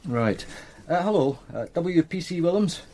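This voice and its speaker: An actor playing a courteous police detective in a radio drama, casual and gently probing. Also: male voice, a little high, lively intonation, unhurried, a little hiss or hum. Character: polite, inquisitive male police detective.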